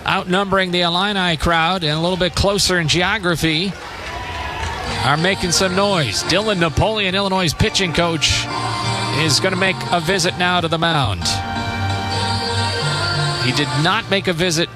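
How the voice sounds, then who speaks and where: A man calling live baseball play-by-play on the radio in measured, clipped phrases with pauses between them. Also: high-pitched, music in the background. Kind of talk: live radio sports commentary.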